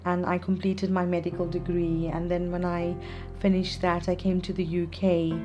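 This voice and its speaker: A woman born in South Africa, a psychiatrist answering interview questions in earnest, measured explanations. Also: on the low side, monotone, a little mellow, highs cut off, faint music behind. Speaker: psychiatrist guest.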